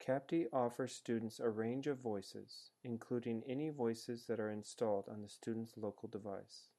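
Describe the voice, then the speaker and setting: A man reading a scripted software tutorial in a measured, explanatory manner as a voice-over. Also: mid-range voice, fairly even intonation. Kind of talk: scripted instructional narration. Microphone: screencast voice-over.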